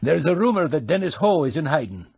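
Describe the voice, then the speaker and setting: A man's voice: fairly high pitch, very animated, fast, vocal fry, darkish tone, slightly rough, dry recording, voice pushed up, heavily acted. A man voicing a chatty, gossipy male shopkeeper with a put-on Scottish brogue. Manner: teasing, gossipy, rolling brogue.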